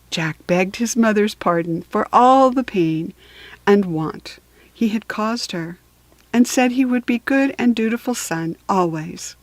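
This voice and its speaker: A woman reading a children's story aloud for beginning readers, measured and deliberate, with storytelling emphasis. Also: on the high side, no room sound. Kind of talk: slow, careful read-aloud for beginning readers.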